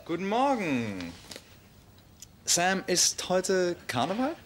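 A man's voice: sits high, wildly swooping pitch, slow, noisy background, heavily acted. An actor playing a cheeky young man who teases and laughs as he talks. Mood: teasing. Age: young adult. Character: cheeky young male neighbour, cocky. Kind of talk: sitcom acting.

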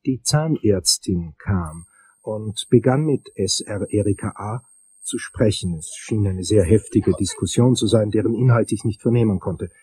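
An actor playing a wry, world-weary investigator who narrates his case in a crime audio drama, husky and dry in delivery. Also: fairly low pitch, unhurried, dark tone. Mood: tense, brooding.

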